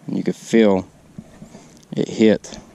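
Man, deep mellow voice, halting laid-back how-to talk, outdoors by a lake, action-cam mic, faint background noise. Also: little pitch movement, creaky, rough recording. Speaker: fishing vlogger. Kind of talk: casual how-to narration while fishing. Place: outdoors on a lakeshore. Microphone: body-mounted action camera.

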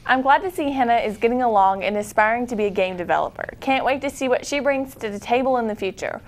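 A teenage girl anchoring a school newscast, reading scripted items brightly and making puns in a studio. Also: on the high side, fast talker. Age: teens. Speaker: student news anchor. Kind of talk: read newscast.

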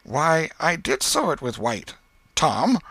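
Man putting on a high, folksy old aunt. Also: sing-song, slow, a bit bright, husky, no echo, exaggerated voice. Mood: grudging fondness. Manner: folksy rural dialect, pointed questioning. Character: sly, nosy old American country aunt.

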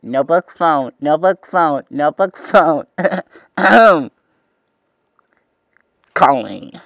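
A man's voice: fairly high pitch, expressive, drawn-out words, creaky, a bit bright, no echo, full character voice. A man playing a cheerful, eager young host of a preschool TV show, talking to the viewer in an exaggerated, wide-eyed way. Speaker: children's show host character.

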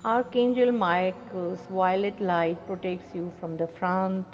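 A middle-aged woman with an Indian accent leads a guided meditation in a measured, soothing, instructive manner. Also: on the low side, fairly even intonation. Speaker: meditation guide and spiritual healer. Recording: long reverb, dull lo-fi sound, faint noise.

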